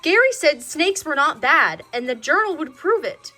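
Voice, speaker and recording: A woman reads a children's story aloud in a high voice that swings widely in pitch. Her delivery is expressive storytelling, and music plays behind her. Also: normal speed. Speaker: storybook reader. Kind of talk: children's read-aloud narration.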